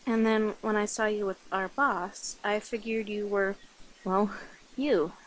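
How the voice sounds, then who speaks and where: A woman playing a flirty, affectionate, slightly nervous vampire in an intimate ASMR roleplay, speaking softly, coyly and hesitantly to the listener. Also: mid-range voice, fairly even intonation, a little slow, slight background noise, natural voice. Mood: explaining softly. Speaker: voice actor in an audio roleplay.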